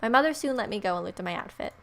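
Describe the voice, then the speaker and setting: A woman's voice: medium pitch, expressive, very fast, creaky, a bit bright. A woman hosting a gaming video, reading a visual novel's story aloud with playful asides.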